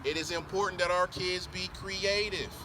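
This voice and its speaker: A man's voice: sits high, steady tone, moderate pace. A middle-aged man from Columbus, Ohio, a school board candidate answering interview questions emphatically, repeating his points and restarting often. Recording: noisy outdoor recording with traffic.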